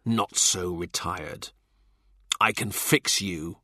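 Man as low, measured, menacing Tudor statesman. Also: bright, forceful tone, dry recording, clean studio sound, exaggerated voice. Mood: quiet menace. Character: stern, guarded male Tudor statesman, quietly menacing.

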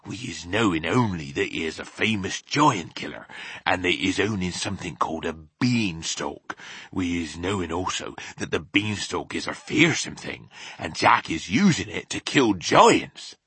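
A man voicing a gentle, friendly giant in a children's storybook, confiding in a folksy, ungrammatical way. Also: low-pitched, animated, normal speed, slightly rough, no room sound, heavily acted. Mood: hushed, earnest. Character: big friendly old-fashioned giant, male, gentle, mangles grammar. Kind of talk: dramatised children's storybook reading.

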